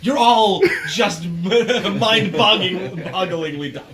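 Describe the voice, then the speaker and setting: A man's voice: high voice, takes it slowly, crowd noise. A man playing a tabletop game, bantering casually in a jokey, mock-exasperated way and often laughing.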